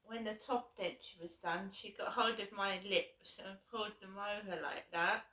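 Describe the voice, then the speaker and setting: A hoarse woman with a sore throat, telling a rambling personal story to her vlog audience, repeating herself and swearing freely. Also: medium pitch, steady tone, moderate pace, pushed and bright. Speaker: vlogger. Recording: echoey room, voice from a distance.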